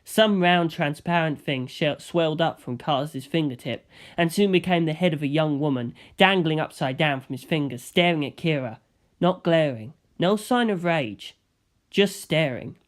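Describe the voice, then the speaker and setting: Male audiobook narrator, somewhat high register, first-person fiction read from a script. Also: animated, moderate pace.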